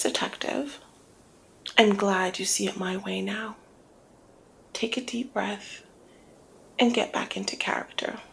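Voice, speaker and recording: A woman playing a flirty, cunning female mob boss in an intimate ASMR roleplay, speaking in a teasing, deliberate murmur that often slips toward a whisper. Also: medium pitch, slight background noise, rough recording. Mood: pleased, smooth. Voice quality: breathy creaky murmur, often dropping to whisper. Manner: teasing, deliberate, drawn-out phrasing.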